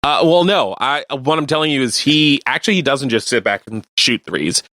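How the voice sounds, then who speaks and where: A man co-hosting a radio show, chatting casually about sports and explaining things in a halting way. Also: mid-range voice, expressive, bright, forceful tone, no echo. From Canada. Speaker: radio co-host.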